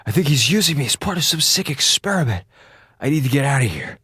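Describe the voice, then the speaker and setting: Feverish, sarcastic captive space officer, swooping pitch. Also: male voice, very animated, quick pace, sharp tone, breathy edge, no echo, studio quality, exaggerated voice. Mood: desperate. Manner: rambling, sarcastic, melodramatic. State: feverish, coughing. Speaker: actor in radio drama. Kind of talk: radio drama acting.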